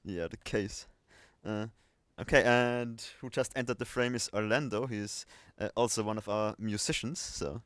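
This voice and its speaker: Male stream host, clean low voice, close dry sound, unscripted and halting. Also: steady tone, a bit bright, clean tone, no room sound. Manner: hesitant, rambling. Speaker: livestream host. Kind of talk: casual unscripted livestream chat.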